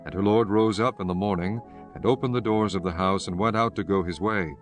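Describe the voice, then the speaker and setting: A man's voice: lowish voice, a little fast, faint music behind. A man giving a solemn, formal scripted narration of scripture as the narrator.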